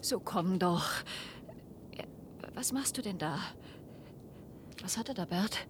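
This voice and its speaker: An actress playing an anxious grown daughter in a radio play, pleading with urgent, halting delivery. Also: female voice, animated. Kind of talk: German radio play acting. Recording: rumbly, noisy archive radio recording.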